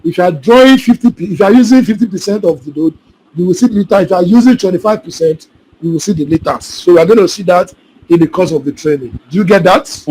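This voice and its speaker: Male instructor on a video call, higher-pitched, repetitive and checks in often. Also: fast, darkish tone, husky, low-quality recording. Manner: repetitive, keeps checking students follow. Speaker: solar installation trainer. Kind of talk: live online class, answering questions.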